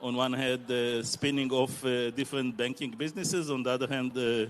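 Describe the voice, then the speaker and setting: A man, answering on a conference panel with many hesitant pauses. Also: medium pitch, fairly even intonation, a little hiss or hum. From Israel. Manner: halting, many filler pauses. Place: conference stage.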